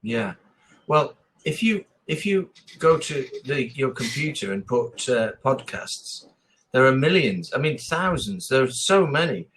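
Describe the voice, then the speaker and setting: English man, online language tutor. Encouraging, conversational, mid-pitched, circles back over his advice. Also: very animated, unhurried, a bit bright, rough recording. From England. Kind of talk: casual one-to-one lesson chat. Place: online video call.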